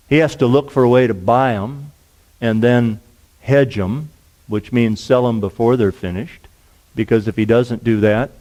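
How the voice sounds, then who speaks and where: Earnest low-voiced man giving a lecture. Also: poor audio. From Nebraska. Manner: earnest, measured, drops to a low hush at ends of points. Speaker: lawyer and speaker. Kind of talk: public lecture. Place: university lecture room.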